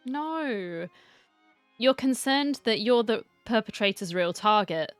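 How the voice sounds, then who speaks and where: A woman's voice: on the high side, animated, takes it slowly, clean tone, no room sound, faint music behind. A woman giving casual, playful reaction commentary as she plays through a game's story, chatty and cheeky. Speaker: gamer reacting to a story quest.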